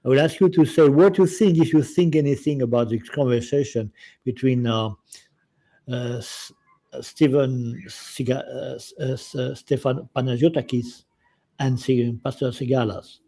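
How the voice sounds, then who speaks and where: Halting man interviewing. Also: medium pitch, steady tone, warm tone. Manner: hesitant, halting, many restarts in his phrasing. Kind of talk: casual interview, partly reading aloud.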